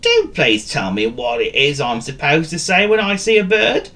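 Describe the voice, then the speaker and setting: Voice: mid-range voice, very animated, moderate pace, sharp tone, voice pushed up. A performer voicing a forgetful, silly, cheerful male dog in a children's storybook, hesitant and given to chortling. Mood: puzzled, polite.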